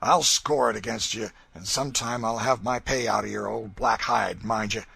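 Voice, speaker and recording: Here is a cruel, mocking master, as a male reader puts him on, loud and cutting, with a voice pushed higher than the reader's own. He taunts and threatens with open scorn in a coarse drawl. The tone stays bright and forceful throughout. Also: moderate pace, vocal fry, husky, dry recording, heavily acted. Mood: raging. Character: brutal, sneering male slave master.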